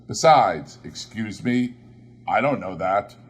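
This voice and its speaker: A stingy, bitter old man who bites off every word with clipped scorn, as a male reader puts him on. His voice rises higher and louder than the reader's own and pushes out fast and sharp. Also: expressive, breathy edge, heavily acted. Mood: scornful.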